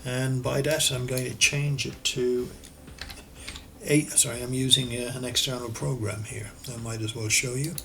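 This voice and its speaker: An Irish man walks through a CAD drawing in a screen-recorded tutorial, talking things through as he goes and correcting himself. His voice is gravelly and bright. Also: mid-range voice, moderate pace, slight background noise. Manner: relaxed, thinks aloud while working, self-correcting. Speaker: architectural designer and CAD tutor.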